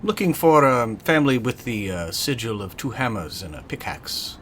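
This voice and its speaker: A man playing a tabletop game, improvising his character's words and actions in a hesitant, halting way. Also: mid-range voice, sing-song, fairly bright tone, a little hiss or hum.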